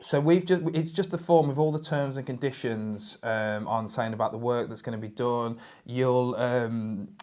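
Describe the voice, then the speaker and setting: A man's voice: mid-range voice, moderate pace, hardly any pauses, a little mellow, slight background noise, lightly acted. A man playing an earnest, bureaucratic council official on a prank call, stammering and repeating himself with polite insistence. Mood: flustered, officious.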